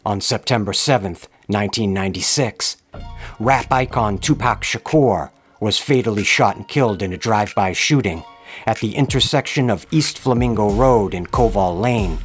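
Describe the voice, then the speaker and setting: A man giving a grave, theatrical scripted narration in the style of a true-crime horror tale. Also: fairly low pitch. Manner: grave, florid, theatrical delivery. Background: music bed.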